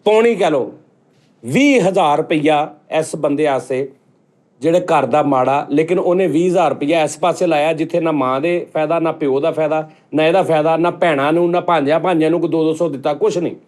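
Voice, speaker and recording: Man, Pakistani Punjabi accent, slightly high voice, very quick, insistent, casual comic chat. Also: darkish tone. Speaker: host of a comedy chat show.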